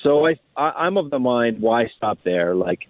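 A man's voice: medium pitch, animated, normal speed, dry recording. A man talking sports analysis as a radio guest over a phone line, often repeating and restarting his phrases. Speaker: hockey writer, interview guest. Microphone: phone call. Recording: tinny, narrow phone-line sound.

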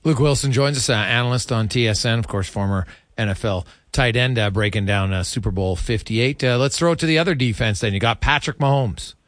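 A man hosting a sports radio interview, putting questions in a conversational way with some halting restarts. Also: medium pitch, a bit bright, no room sound, studio quality. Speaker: radio host interviewing a guest.

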